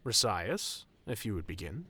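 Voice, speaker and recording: A man playing a genial, fondly proud wedding officiant in an audio roleplay, with a theatrical, courtly and warmly formal way of speaking. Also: on the low side, big pitch swings, normal speed, slight background noise, full character voice. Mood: solemn, formal.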